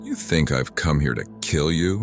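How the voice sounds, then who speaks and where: Man playing very deep, gravelly medieval swordsman. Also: animated, moderate pace, music in the background. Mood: calm, probing. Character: stern, probing medieval French swordsman, calm and unafraid.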